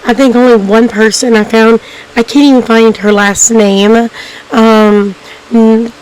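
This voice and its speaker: A woman with a hoarse, cracking voice, hosting a documentary-style video and talking casually to viewers, rambling with many ums and restarts. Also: fairly high pitch, little pitch movement, unhurried, a little mellow, a little hiss or hum, rough recording. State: hoarse, losing her voice. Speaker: YouTube documentary host. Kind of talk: casual unscripted narration to viewers.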